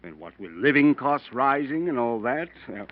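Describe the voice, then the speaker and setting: A smug, well-spoken male blackmailer played by a radio actor with a middling pitch, needling his victim with mocking courtesy. The sound is a dull, vintage radio drama recording. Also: sing-song, normal speed, lightly acted. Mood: smug, self-satisfied. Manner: polite, mocking, leisurely threatening. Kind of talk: old-time radio drama acting. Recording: muffled old radio broadcast recording.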